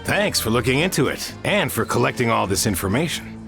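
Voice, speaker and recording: A man playing an eager, helpful tribesman in a video game, obliging and brisk in manner. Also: medium pitch, very animated, vocal fry, music in the background.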